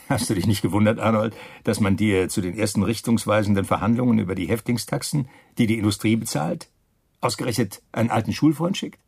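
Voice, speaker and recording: A man's voice: on the low side, brisk, gravelly, darkish tone, breathy edge, dry recording. An actor playing a pushy, persuasive male wartime schemer in a German radio play, chummy with a veiled threat. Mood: insinuating.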